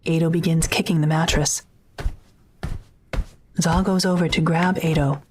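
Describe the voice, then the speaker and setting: A woman's voice: sits low, steady tone, measured pace, slight background noise. A woman reading scripted narration for an audio drama as its narrator.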